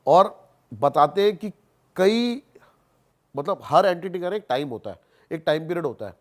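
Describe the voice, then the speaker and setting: Male podcast guest, slightly high voice, colloquial storyteller who repeats phrases for emphasis and drops in English words. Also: expressive, moderate pace. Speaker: podcast guest, haunted-place investigator. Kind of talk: animated casual storytelling on a podcast.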